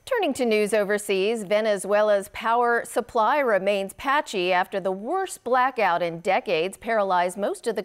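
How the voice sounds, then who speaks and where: A woman presenting scripted news as an anchor. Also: medium pitch.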